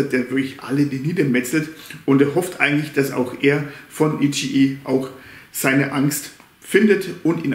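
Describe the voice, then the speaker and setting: A man in his forties talks through a film review from an armchair at home, pitched a little high for a man. He rambles, leans on filler words and trips over names as he goes. The room is echoey around his voice. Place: living room at home, indoors. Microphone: camera on a stand in front of an armchair.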